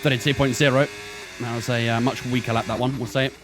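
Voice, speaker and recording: A man's voice: medium pitch, little pitch movement, fast talker, noisy background. A man in his twenties streaming a racing game, giving chatty running commentary and talking to his chat. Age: 20s. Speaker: gaming streamer. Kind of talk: casual live-stream commentary while racing.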